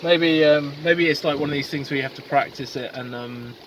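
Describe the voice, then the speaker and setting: A man filming a casual video diary while sailing solo, talking in a halting way full of ums and restarts. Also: fairly high pitch, a bit bright, poor audio. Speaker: solo sailor vlogger. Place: outdoors on a small sailboat at sea. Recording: wind and boat noise. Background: wind, water and boat sounds.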